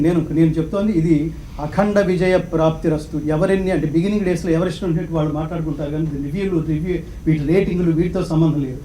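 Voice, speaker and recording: A man's voice: high-pitched, mellow, reverberant, slight background noise, low-quality recording. A man speaking passionately and reverently as a guest on a TV talk show, repeating words for emphasis and slipping into English. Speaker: studio guest, former journalist. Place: indoor TV studio.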